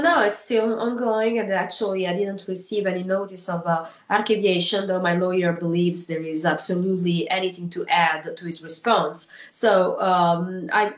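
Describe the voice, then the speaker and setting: A woman from Italy with an Italian accent, a guest in a remote interview who speaks in a measured way, pausing often with uh. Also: fairly low pitch, reverberant, highs cut off. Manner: measured, with frequent uh pauses.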